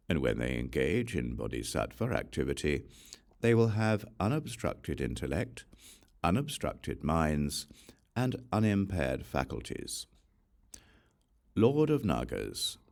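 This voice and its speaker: A man reading a scripture aloud as an audiobook narrator, in a measured, solemn manner, phrase by phrase. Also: very deep voice, creaky, a little mellow. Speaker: audiobook reader.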